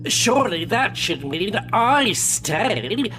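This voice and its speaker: Slow, whining alien villain's son. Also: female voice, medium pitch, sing-song, fairly bright tone. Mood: sulky, whining. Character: dim sulky adult alien son of a villain. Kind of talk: sci-fi audio drama acting.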